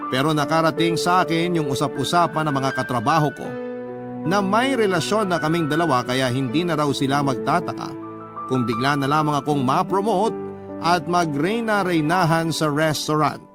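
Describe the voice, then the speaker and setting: Male radio storyteller, slightly high voice, dramatic first-person love story narration over a clear music bed. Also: moderate pace.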